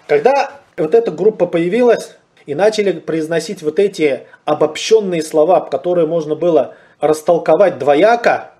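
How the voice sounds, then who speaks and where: A man's voice: on the high side, lively intonation, normal speed, warm tone. Man explaining religious terms. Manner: explains step by step, poses questions then answers them; repeats phrases and corrects himself. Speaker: Islamic lecturer. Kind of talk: religious lecture.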